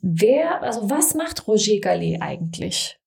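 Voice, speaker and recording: A woman's voice: medium pitch, big pitch swings, unhurried, vocal fry, a little mellow. A woman hosting a talk show, asking curious follow-up questions.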